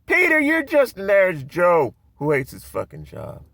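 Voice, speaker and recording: A man playing a loud, mocking man who confronts and interrogates another person, swearing as he goes. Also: high-pitched, wildly swooping pitch, moderate pace, creaky, no echo, pitched above the performer's own voice, exaggerated voice. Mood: taunting. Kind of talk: dramatic scene reading.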